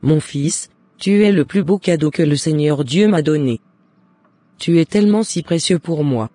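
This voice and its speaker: A man's voice: a little high, moderate pace, mellow, no echo, lightly acted. A man playing a loving, devout mother in a cartoon, giving earnest and encouraging advice in formal words. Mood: tender, proud. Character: devout, loving mother advising her son. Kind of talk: scripted cartoon dialogue.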